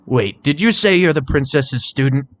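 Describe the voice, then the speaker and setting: A timid pony burglar sidekick, put on by a man, pitched well up. He is jittery and hesitant, a nervous crook from a storybook. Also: lively intonation, moderate pace, natural voice. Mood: alarmed.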